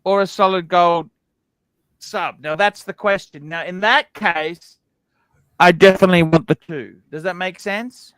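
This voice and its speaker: A man hosting a casual livestream chat about what he would rather own. He talks bluntly, swears freely and keeps checking in with "okay?". His voice sits high and sounds smooth and resonant, recorded close with no room sound. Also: a little slow, a bit bright, dry recording. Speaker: livestream host.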